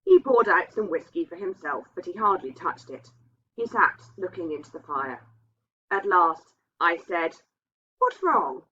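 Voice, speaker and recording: A woman's voice: on the low side, big pitch swings, unhurried, pushed and bright, breathy edge, full character voice. A woman voicing a sensible housekeeper who recounts her past in a reflective, confiding way. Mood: wistful. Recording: dull, muffled lo-fi sound.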